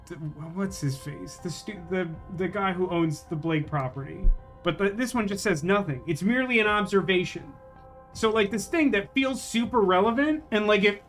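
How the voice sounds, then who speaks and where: A high-voiced man gives casual live commentary on a game. He thinks aloud in a rambling, wry way, with faint music playing behind him. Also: fairly bright tone. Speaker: game streamer.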